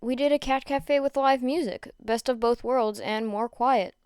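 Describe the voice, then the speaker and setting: A low-key teenage girl musician, played by a woman narrator in a fan audiobook. She speaks matter-of-factly in a clean, dry-sounding recording. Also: a little mellow, clean tone, dry recording, lightly acted. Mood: casual. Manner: slow, matter-of-fact.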